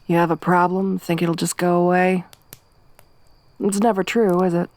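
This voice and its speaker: A woman voicing a tough, wry young survivor woman in a soft-spoken ASMR roleplay, with a dry, teasing, gruff manner. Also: on the low side, flat delivery, normal speed, dry recording, close to an everyday voice. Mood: low-key, wry.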